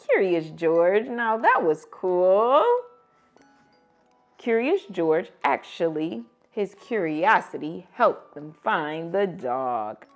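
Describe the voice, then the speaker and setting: Lady doing a kids story read-aloud, really animated, pitch all over, bit of music. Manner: expressive, dramatic emphasis on story events. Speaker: children's read-aloud host.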